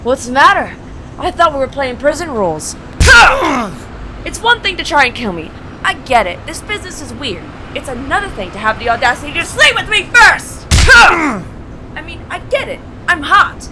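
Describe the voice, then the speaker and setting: A woman's voice: sits high, big pitch swings, moderate pace, heavy background noise. A woman playing a foul-mouthed, defiant mercenary turned bounty hunter in an audio drama, sassy, sarcastic and combative. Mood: indignant. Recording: loud music behind the voice. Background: loud music.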